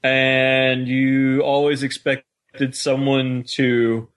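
A man's voice: mid-range voice, little pitch movement, extremely slow, a bit bright. Easygoing man co-hosting a podcast. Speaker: podcast co-host. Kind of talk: casual podcast chat.

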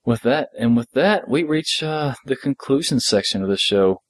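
A man's voice: mid-range voice, animated, normal speed, dry recording. A middle-aged man chatting casually as a podcast co-host, laid-back and appreciative, sometimes reading out listener messages.

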